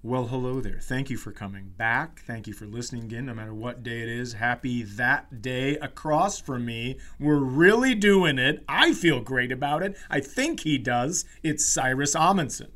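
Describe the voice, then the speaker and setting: A man talks like a comedian hosting a casual podcast. His voice sits in a middle pitch and his delivery is teasing and effusive. The sound is dry and close. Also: moderate pace, hardly any pauses, fairly bright tone, no echo.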